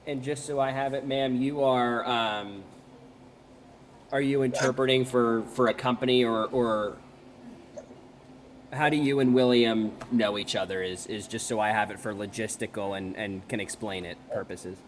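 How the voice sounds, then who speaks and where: A man with a mid-range voice asks a guest questions as a reporter. He is polite and a little hesitant. Steady noise runs under the recording. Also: medium pitch, normal speed. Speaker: news reporter. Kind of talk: interview questions. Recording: noisy field recording.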